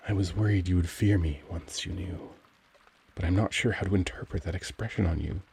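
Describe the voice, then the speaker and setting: A man playing a gentle, cultured young vampire in an intimate ASMR roleplay, speaking formally and tenderly as he struggles for control. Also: low-pitched, fairly even intonation, a little fast, creaky, breathy edge, full character voice. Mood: anguished, tender. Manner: formal, courtly, tender, brooding.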